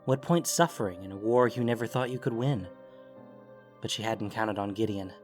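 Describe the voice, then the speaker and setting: A man narrating scripted fantasy fiction in a grave, deliberate way with theatrical emphasis. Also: on the low side, faint music behind.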